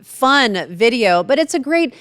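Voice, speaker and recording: A woman's voice: fairly high pitch, very animated, a little slow, a crowd in the background. A middle-aged woman interviewing a guest for a TV news segment, encouraging and full of compliments. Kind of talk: friendly interview questions.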